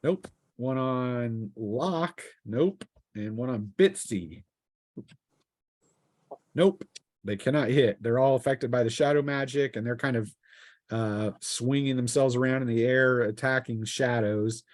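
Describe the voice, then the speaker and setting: A man runs a tabletop role-playing game as game master. His voice is average in pitch and casual, moving between rules talk and narration. The sound is dry, with little room echo. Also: a little slow.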